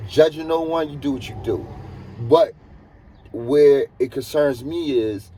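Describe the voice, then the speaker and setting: Husky-voiced New man, earnest and emphatic. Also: medium pitch, a little mellow, rough recording. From United States. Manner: earnest, emphatic, repeats points for stress. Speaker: truck driver and vlogger. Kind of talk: casual advisory monologue.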